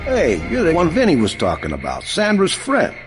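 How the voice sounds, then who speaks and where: Casting: friendly male game ally, very animated intonation, confiding, long reverb. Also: a little high, moderate pace. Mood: eager, confiding. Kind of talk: video game voice acting. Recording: long reverb, music behind.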